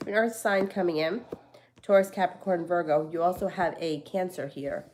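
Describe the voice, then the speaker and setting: A woman's voice: fairly low pitch, moderate pace, darkish tone, a little hiss or hum. A woman with a stuffy, congested voice giving casual tarot readings, talking in a rambling, chatty way full of fillers and restarts. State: stuffy from a cold. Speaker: tarot reader. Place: home.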